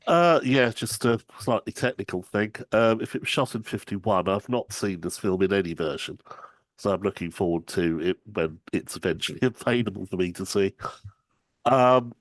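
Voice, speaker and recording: A man's voice: lowish voice, normal speed, dry recording. A man from the UK making tentative, casual comments and questions in an online discussion. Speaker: webinar audience member.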